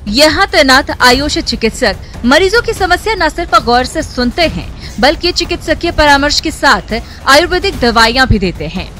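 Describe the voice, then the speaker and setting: A woman's voice: high voice, big pitch swings, normal speed, few pauses, bright, forceful tone. A woman narrating scripted news reports as a broadcast voice-over. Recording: news music behind. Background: background music.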